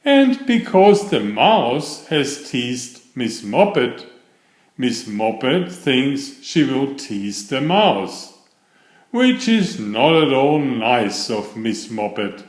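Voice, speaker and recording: Slow, lively male storyteller in a roomy space. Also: medium pitch. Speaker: storyteller reading children's picture books. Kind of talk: scripted children's story narration. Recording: roomy echo on the voice.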